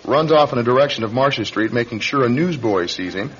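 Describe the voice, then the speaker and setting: An American man acting as a calm, persistent police detective, deliberate and explanatory, in a radio crime drama. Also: medium pitch, natural voice. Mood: accusing, deliberate. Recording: dull recording with faint noise.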